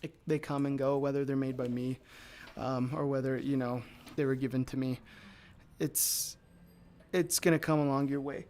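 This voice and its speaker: A man with a raspy, low voice from allergies, talking casually and rambling to viewers as he demonstrates mold casting. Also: fairly even intonation, moderate pace, darkish tone. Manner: rambling, with many fillers and restarts. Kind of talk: casual livestream talk to viewers.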